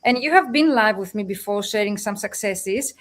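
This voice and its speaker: A woman's voice: medium pitch, lively intonation, moderate pace. A woman with a Greek accent hosting a live-streamed interview over a video call, chatty and friendly, with fillers and restarts. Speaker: host and business coach.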